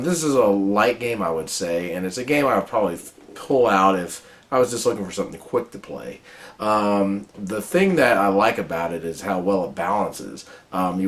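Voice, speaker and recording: A man reviewing a board game and explaining its rules in a casual, chatty way, his voice a little raspy from a cold. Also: low-pitched. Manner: relaxed, chatty explaining with many fillers. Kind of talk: casual how-to-play review.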